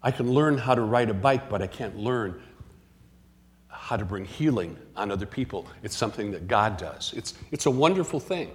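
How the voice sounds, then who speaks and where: A man's voice: lowish voice, expressive. Man preaching in a reverberant church. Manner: earnest, measured preaching with restarts. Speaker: pastor. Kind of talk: sermon. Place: church sanctuary. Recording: long hall reverb in a church.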